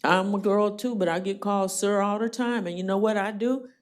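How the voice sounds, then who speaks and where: A woman judge running a jail court hearing, brisk and plainspoken with a dry joking streak. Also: mid-range voice, fairly even intonation.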